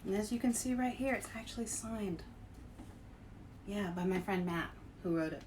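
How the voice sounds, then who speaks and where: A woman hosting a read-aloud for children, with an emphatic, deliberate storybook delivery. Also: mid-range voice, darkish tone. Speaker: host and author reading picture books aloud. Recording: distant mic with some background noise.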